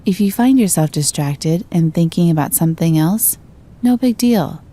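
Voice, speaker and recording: A woman narrating a scripted guided meditation in a gentle, calm and soothing manner. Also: fairly low pitch, normal speed.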